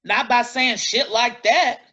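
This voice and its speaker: Actress playing a husky, blunt, indignant woman. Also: fairly high pitch, slow, pushed and bright, exaggerated voice. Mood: indignant anger. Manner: blunt, confrontational, emphatic. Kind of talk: naturalistic staged play reading.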